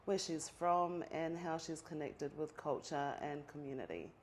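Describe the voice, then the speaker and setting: A woman's voice: low voice, fairly even intonation, measured pace, darkish tone, a little hiss or hum. A woman hosting, introducing a guest in a casual, friendly way with light fillers.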